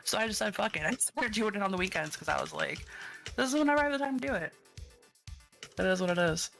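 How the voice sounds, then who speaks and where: A woman guest streamer in a casual interview, soft-spoken and laid-back, who laughs easily. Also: medium pitch, expressive, quick pace, creaky. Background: faint background music.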